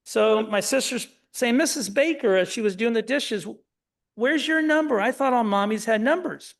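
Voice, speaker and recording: A middle-aged man with a fairly high voice tells a story to an audience in short, plain phrases. He is from Indiana, and his talk rings through a large, echoey synagogue hall. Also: moderate pace. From Indiana, USA. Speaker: speaker at a Holocaust education program. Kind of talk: public talk retelling family history.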